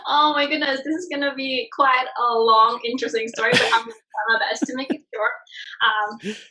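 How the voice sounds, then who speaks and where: A woman from Bali, Indonesia, in her thirties, telling her story as a guest in a casual podcast interview. She talks in an animated way and laughs often. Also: a little high, brisk, background noise. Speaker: guest, branding expert.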